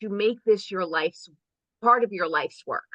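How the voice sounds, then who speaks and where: A woman's voice: medium pitch, measured pace, a bit bright, no echo, low-quality recording. A woman hosting an interview, effusive and enthusiastic as she introduces her guest. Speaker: host, business coach.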